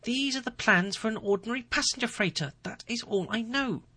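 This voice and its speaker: A man's voice: a little fast, pushed and bright, slightly rough, dry recording, heavily acted. A man voicing a frightened, blustering middle-aged male planet president who pleads his innocence. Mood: desperate. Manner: high-pitched desperate pleading.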